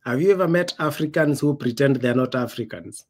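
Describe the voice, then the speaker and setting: A man with a Kenyan accent and a medium-pitched voice hosts a relaxed podcast conversation. He tells stories in an emphatic, conversational style, acting out bits of quoted dialogue. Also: a little fast. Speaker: podcast host. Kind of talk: casual conversational storytelling.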